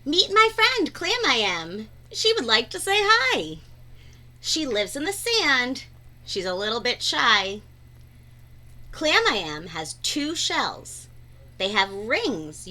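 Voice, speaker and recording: Bright-voiced woman reading to children, very animated. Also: high-pitched. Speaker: read-aloud storyteller. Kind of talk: children's picture book read-aloud.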